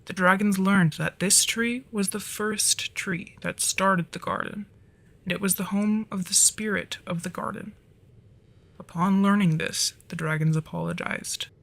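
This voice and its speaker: Performer of unclear sex as a soft, creaky-voiced dragon storyteller. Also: mid-range voice, fairly even intonation, a little slow. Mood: gentle, storytelling. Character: flirty, easily flustered dragon storyteller. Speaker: storyteller in an audio roleplay. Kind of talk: ASMR roleplay, soft storytelling.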